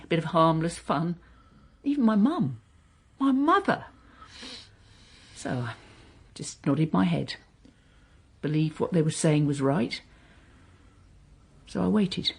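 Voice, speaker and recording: A woman's voice: deep voice, expressive, a little mellow, breathy edge, heavily acted. An actress playing a bitter, defiant woman wronged years ago, in a naturalistic radio drama. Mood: wounded, angry. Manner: impassioned, halting when hurt.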